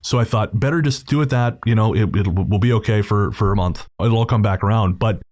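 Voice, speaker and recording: A man's voice: lowish voice, brisk, a little mellow. A man co-hosting a podcast in a chatty, informal, joking way, reading out notes and ad-libbing casually. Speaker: podcast co-host. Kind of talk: casual scripted podcast sign-off with chat.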